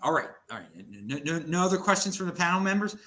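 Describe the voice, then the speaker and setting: A man hosting a casual panel chat, halting and full of restarts, calling guests "dude". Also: high voice, sing-song, sharp tone, echoey room. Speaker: podcast host.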